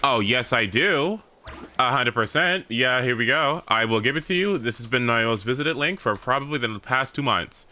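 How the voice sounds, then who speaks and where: A man's voice: medium pitch, lively intonation, normal speed, pushed and bright. A man chatting casually on a gaming stream, rambling as he thinks aloud, with some swearing. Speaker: livestreamer. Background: game music.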